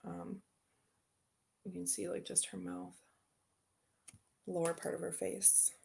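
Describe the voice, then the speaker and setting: A woman's voice: lowish voice, sing-song, takes it slowly, creaky, darkish tone, slight background noise. A woman hosting a tarot channel, chatting casually and rambling as she unboxes decks and answers viewer comments.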